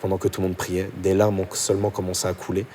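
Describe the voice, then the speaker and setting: Fast-talking man telling his testimony. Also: slight background noise. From Portugal. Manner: fast, animated storytelling, drops low and soft at intimate moments. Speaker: pastor. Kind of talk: personal testimony in a podcast chat.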